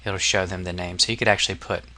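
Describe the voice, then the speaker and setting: A man narrating a casual software tutorial as an instructor, explaining step by step in an informal, somewhat hesitant way. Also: low voice, steady tone, fast talker.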